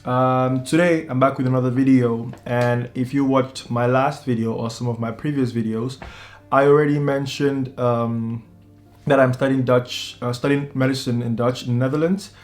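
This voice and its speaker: A man in his twenties, a medical student, talking casually to the camera in a relaxed way, often restarting his sentences. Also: medium pitch, normal speed. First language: English. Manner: relaxed, repeats words and restarts, many ums. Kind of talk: casual talking-head vlog.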